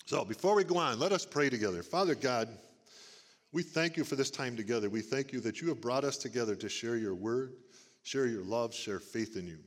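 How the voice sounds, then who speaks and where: Man with a big booming churchy voice. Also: expressive, brisk. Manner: warm folksy preaching, big booming voice. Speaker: lay church speaker. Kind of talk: church talk to congregation. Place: church sanctuary. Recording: long reverb of a church hall. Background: church hall.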